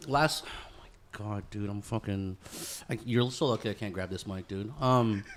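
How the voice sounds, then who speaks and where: A man's voice: lowish voice, normal speed, slight background noise. A man hosting a podcast in casual banter, swearing freely and peppering his talk with slang like dude and bro. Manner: slangy, profane, says "dude" and "bro" often. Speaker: podcast host.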